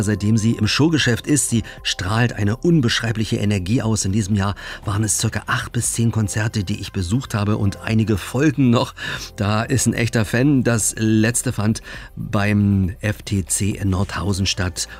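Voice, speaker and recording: A man hosting a radio show who reads out listener mail and interviews a guest in an upbeat, slightly rushed way, with many fillers. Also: fairly low pitch, darkish tone. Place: radio studio.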